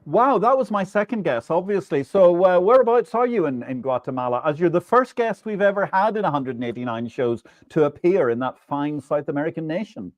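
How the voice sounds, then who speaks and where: A man's voice: on the high side, animated, fairly quick, few pauses, dry recording. A man hosting a live stream, delivering news and interview questions in an emphatic, grandiose, theatrical manner. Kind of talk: animated scripted news monologue and guest interview.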